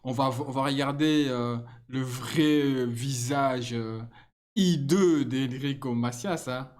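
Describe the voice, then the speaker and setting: Slow-talking non-native man hosting a channel. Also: mid-range voice, darkish tone. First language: not French. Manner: chatty, frequent laughs, halting restarts. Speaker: channel host. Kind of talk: casual commentary to viewers.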